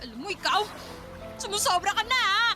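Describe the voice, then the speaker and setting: A spiteful teenage girl in a radio drama, her voice extremely high and lively. She answers back in a whiny, defensive, touchy way over clearly audible music. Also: fairly quick. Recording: music behind the voice.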